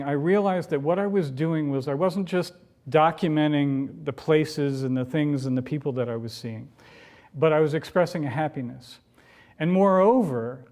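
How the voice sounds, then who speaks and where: A man speaks in a somewhat high voice as a public speaker. His delivery is earnest and emphatic, leaning on repetition to drive home each point in a motivational address. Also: a little mellow. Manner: earnest, emphatic, repeats phrases for rhetorical effect. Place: room, speaking to a campus audience.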